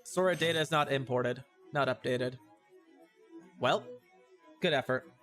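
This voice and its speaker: A man's voice: mid-range voice, a little slow, a bit bright. A man giving casual livestream commentary on a game, reacting with puzzled asides and repeating his phrases. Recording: music behind the voice.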